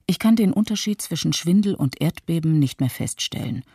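A man playing a pensive disaster witness with a husky, creaky voice, narrating in a radio play. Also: a little high, expressive, fairly quick, darkish tone, no room sound, clean studio sound. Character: pensive islander resolved to stay positive after disaster. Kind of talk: scripted first-person narration in a radio documentary play.

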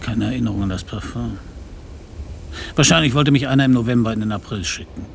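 Actor as gravelly, wry Paris private eye. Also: male voice, mid-range voice, a little fast, background noise, lightly acted. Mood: wry, musing. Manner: dry, laconic, sardonic. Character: hard-boiled, wry, stubborn male Paris private detective. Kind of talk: first-person radio crime drama narration and dialogue.

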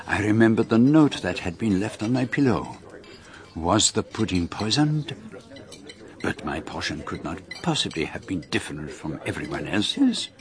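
Gentleman detective, Belgian French accent, lowish voice, formal, meticulous, first-person radio drama narration. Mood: wary, reflective. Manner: precise, formal, measured. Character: fastidious foreign gentleman detective telling his story.

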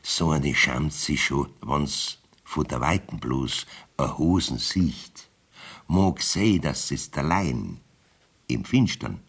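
A man's voice: rumbling low, animated, slow pace, fairly bright tone, husky, exaggerated voice. A man playing a wily rustic village judge in a dialect radio play, evasive and self-serving, with a Bavarian accent. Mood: coaxing, indulgent. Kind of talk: dialect radio drama acting.

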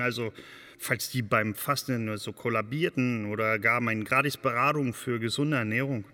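In a reverberant space with faint music, a man tells his story in a forceful, bright voice. He is earnest and fascinated, groping for words as if speaking off the cuff. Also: medium pitch. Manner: hesitant, searching for words. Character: earnest, fascinated man recounting a public spectacle. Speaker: storyteller in a radio play. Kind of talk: spontaneous-sounding documentary recollection. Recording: long reverberant hall sound.

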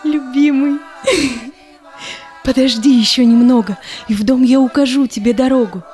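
A woman's voice: high voice, normal speed, mellow, soft background music, lightly acted. A woman playing a tender, devoted young merchant's daughter in love, declaiming verse in an audio drama. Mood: soothing, pleading.

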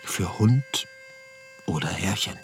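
Dry understated narrator in a radio play, deep voice, looking back, music behind it. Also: male voice, expressive, slow. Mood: laconic, reflective. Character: male narrator looking back on his schooldays. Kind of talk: scripted radio drama narration.

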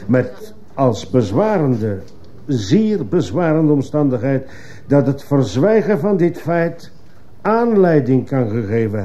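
An actor playing a stern court judge in a radio drama, formal and authoritative. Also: male voice, medium pitch, mellow, natural voice. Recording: muffled old radio play, faint noise.

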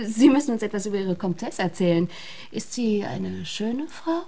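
An actress playing a flirtatious, sultry fiancée in a radio play, coquettish and gently mocking. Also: female voice, mid-range voice, lively intonation, normal speed, gravelly. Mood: sultry, curious. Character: seductive, teasing beauty, wealthy man's flirtatious fiancée.

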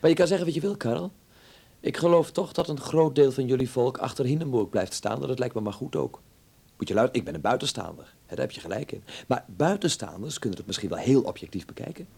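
Young dutch man in a 30s radio drama, affectionate, bit husky, very lively pitch. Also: fast talker. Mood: earnest. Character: young Dutch actor abroad in 1930s Berlin, affectionate son.

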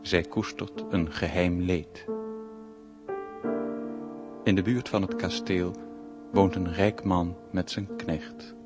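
Deep, slow male radio drama narrator. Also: steady tone. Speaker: announcer and narrator. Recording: narration over loud piano music.

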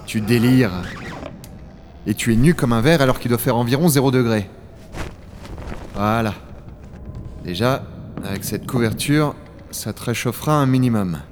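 A man's voice: medium pitch, normal speed, pauses often, slight background noise, close to an everyday voice. A man playing a sceptical dragon hunter who also narrates in a science-fiction audio drama, blunt, sardonic and colloquial. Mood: irritated, sardonic. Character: Owen, gruff, sceptical male dragon hunter. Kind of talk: French audio drama acting and first-person narration.

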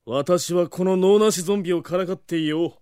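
A man's voice: high-pitched, a little fast, a little mellow, clear, resonant tone, no room sound, clean studio sound, close to an everyday voice. A man playing a stern, proud merman warrior in an audio drama, formal and scornful. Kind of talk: anime-style audio drama acting.

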